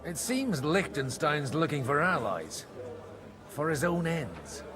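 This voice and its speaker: An actor playing a shrewd, worldly priest in a medieval video game, wry and persuasive in manner. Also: male voice, fairly high pitch, big pitch swings, slow pace, music in the background. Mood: wry, gossipy. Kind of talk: dramatic video game voice acting.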